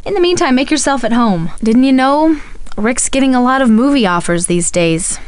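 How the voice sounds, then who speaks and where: A woman playing a friendly, earnest young soap opera actress in a video game, with an American accent and an expressive conversational delivery. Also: high voice, normal speed, clear, resonant tone, no room sound. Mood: worried. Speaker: video game character voice actor. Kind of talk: scripted game dialogue.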